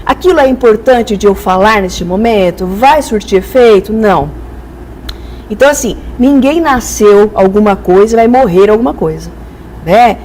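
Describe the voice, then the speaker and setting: A woman's voice: mid-range voice, normal speed, warm tone, a little hiss or hum, low-quality recording. A Brazilian woman speaking as an expert guest in a conversational, didactic way, illustrating with acted-out examples. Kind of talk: conversational explanatory interview.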